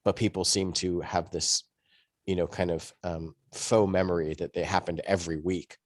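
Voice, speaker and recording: A man's voice: sits low, level tone, normal speed, dry recording, studio quality. A man speaking as a podcast panelist, explaining things in a rambling, conversational way. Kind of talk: conversational discussion.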